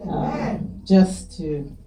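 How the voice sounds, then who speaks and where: A woman speaking casually and warmly to a gathering of friends and guests, thanking them and encouraging them. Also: lowish voice, big pitch swings, drawn-out words, vocal fry, roomy sound. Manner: heartfelt, folksy and encouraging. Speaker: event host thanking guests. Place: community event with guests.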